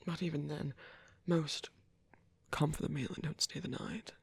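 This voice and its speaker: Casting: male actor as a tender, reclusive vampire priest for ASMR roleplay; very husky, heavy fry, hushed and reassuring. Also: a little high, steady tone, moderate pace, no echo. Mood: warm, reassuring. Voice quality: breathy, soft, often dropping to a whisper. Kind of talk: ASMR roleplay, soft intimate monologue.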